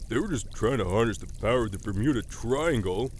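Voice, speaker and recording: A man's voice: lowish voice, lively intonation, fairly quick. A man playing a pompous ten-year-old boy genius and aspiring evil scientist in an audio drama, speaking theatrically in elaborate words. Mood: indignant.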